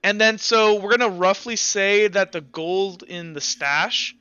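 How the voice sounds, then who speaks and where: A man running a tabletop game as game master, talking casually and haltingly as he thinks out loud. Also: high-pitched, clear, resonant tone, no room sound. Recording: slightly dull, music behind. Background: background music.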